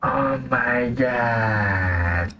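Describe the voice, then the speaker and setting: A man's voice: mid-range voice, very slow, pushed and bright. A man with an Indonesian accent giving casual live commentary as he streams a game. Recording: distant mic over game music.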